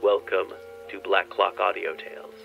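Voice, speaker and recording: A man's voice: low voice, completely flat delivery, moderate pace, vocal fry, phone-quality sound. A man reading a scripted podcast introduction as announcer, with music behind him. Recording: music under the voice.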